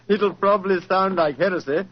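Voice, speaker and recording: Male actor as courteous theatre manager, lightly anxious, fairly high voice, 1940s radio drama, muffled recording. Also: moderate pace, slight background noise, natural voice. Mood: confiding. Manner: courteous, slightly hesitant.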